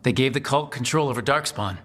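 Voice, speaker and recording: An actor playing a friendly, level-headed team leader in a video game, speaking in a measured, conversational way. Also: male voice, mid-range voice, a bit bright, natural voice. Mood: steady, serious. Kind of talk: video game cutscene acting.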